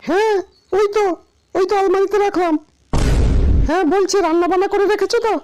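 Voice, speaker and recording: A woman voicing a sharp-tongued, bossy village wife in a comic cartoon, snappy and nagging. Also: extremely high pitch, fairly even intonation, quick pace. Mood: brisk. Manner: snappy, nagging, repeats commands. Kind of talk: comic Bengali cartoon dialogue. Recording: music clearly behind the voice.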